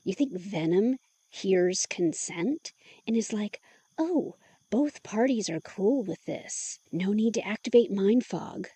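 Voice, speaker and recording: A woman's voice: mid-range voice, lively intonation, slow pace, warm tone, slightly rough, no room sound. An actress playing a skeptical young woman in an audio drama, dry, sarcastic and probing. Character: sharp-tongued young woman, skeptical, questioning.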